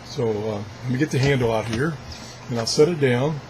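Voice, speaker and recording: A man giving a casual, unscripted product demonstration as a video blogger, thinking aloud haltingly as he handles things. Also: medium pitch, background noise. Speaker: video blogger reviewing gadgets.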